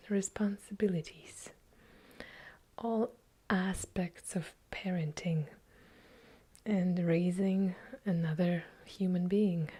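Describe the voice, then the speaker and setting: Slow breathy whispering Eastern European woman. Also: on the low side. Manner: soft unvoiced whispering, unhurried, chatty with small self-corrections. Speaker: ASMR creator answering viewer questions. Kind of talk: whispered ASMR Q&A.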